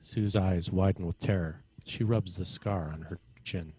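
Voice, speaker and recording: Male narrator, slightly low pitch, scripted screenplay stage directions. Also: fairly even intonation, a little slow, mellow, husky.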